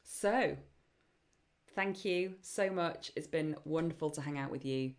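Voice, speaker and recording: Croaky-voiced woman hosting a webinar. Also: sits low, unhurried. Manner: chatty and enthusiastic, frequent ums. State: voice getting croaky. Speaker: webinar host and program founder. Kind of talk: live online Q&A session.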